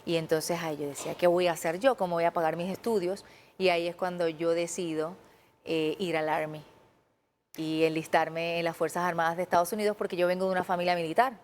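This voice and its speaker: A woman's voice: on the low side, fairly quick, a little hiss or hum. A woman in her thirties with a Puerto Rican accent, a TV journalist telling her story earnestly as a guest in a studio interview. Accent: Puerto Rican Spanish. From Puerto Rico. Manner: earnest, animated, quotes her own past thoughts.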